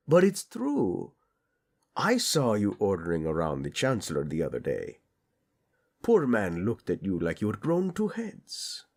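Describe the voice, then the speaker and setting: A man playing a doting, teasing tyrant prince in a romantic audio roleplay, flirtatious and tender with a husky delivery. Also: fairly low pitch, huge pitch swings, takes it slowly, long pauses, a little mellow, full character voice. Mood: playful, flirtatious.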